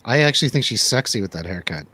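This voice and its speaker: A man's voice: on the low side, expressive, quick pace, pushed and bright. Jokey male radio host. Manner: jokey, playful. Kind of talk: casual movie review banter on a radio show.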